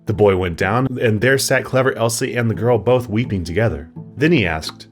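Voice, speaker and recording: A man reading a fairy tale as scripted narration, in an expressive storybook manner. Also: on the low side, normal speed, faint music behind.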